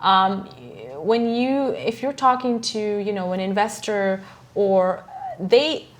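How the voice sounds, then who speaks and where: A woman's voice: mid-range voice, slow. A young woman from Morocco, a guest entrepreneur chatting casually in an interview and laughing often. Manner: laughs often, lively voices for quoted people.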